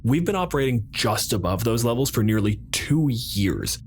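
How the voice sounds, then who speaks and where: A man playing a measured, bureaucratic colonial president in roleplay, speaking formally with deliberate, pointed pauses. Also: medium pitch, sing-song, slight background noise. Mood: firm, unyielding. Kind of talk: improvised roleplay dialogue.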